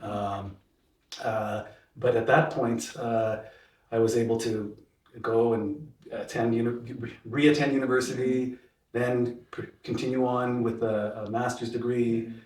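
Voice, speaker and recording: A man's voice: medium pitch, little pitch movement, a little slow, a little mellow, echoey room, slight background noise. Hesitant Canadian man, distant mic. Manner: hesitant, many fillers and restarts. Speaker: panel guest. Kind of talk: panel discussion.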